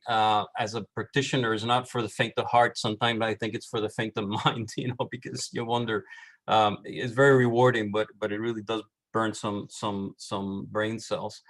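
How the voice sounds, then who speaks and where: A middle-aged man with a Puerto Rican accent, an architect presenting on a panel, halting with many restarts and fillers. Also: on the low side, a bit bright. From Puerto Rico.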